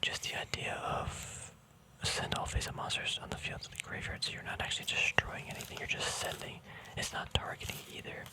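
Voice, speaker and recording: A man speaks entirely in a hushed whisper, as in an ASMR video. He rambles casually through a show-and-tell, musing and hesitating, often letting a thought trail off before picking up the next. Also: fairly quick. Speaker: ASMR video creator showing a trading card deck.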